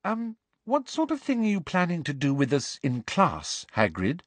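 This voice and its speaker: A man's voice: on the high side, wildly swooping pitch, moderate pace, no echo, pitched above the performer's own voice. A man voicing an anxious, determined schoolgirl in an audiobook, speaking in an earnest, pleading, articulate way. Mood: tentative.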